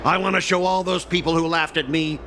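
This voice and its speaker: An actor voicing a petty, boastful video game villain and sore loser, theatrical and prone to shouting. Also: male voice, on the high side, fairly even intonation, a little fast, background noise, lightly acted. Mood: brooding, self-important. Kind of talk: video game voice acting, melodramatic villain monologue.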